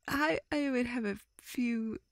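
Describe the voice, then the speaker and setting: A woman playing a shy, tender young woman in an intimate romantic audio roleplay, with soft breathy speech that often drops to a whisper and a halting, flustered, affectionate manner. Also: fairly high pitch, dry recording, studio quality. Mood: bashful affection. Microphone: close microphone, dry.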